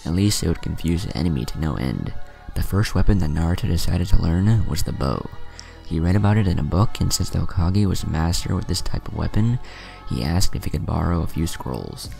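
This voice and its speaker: A man reading a fan fiction story aloud in a plain, steady way, with the odd slip. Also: low-pitched, brisk, gravelly, soft background music.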